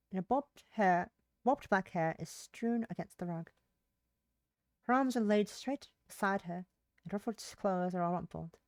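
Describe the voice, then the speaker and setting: A woman's voice: medium pitch, darkish tone, no room sound. Woman reading a visual novel aloud, close dry sound. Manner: stumbles over words and rereads phrases. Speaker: livestreamer playing a visual novel. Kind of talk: reading visual novel text aloud, with brief casual asides.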